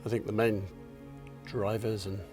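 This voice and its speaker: A man in his sixties answering interview questions in a reflective, modest way, pausing and restarting as he thinks. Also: on the low side, flat delivery, darkish tone, faint music behind. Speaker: company founder and chairman.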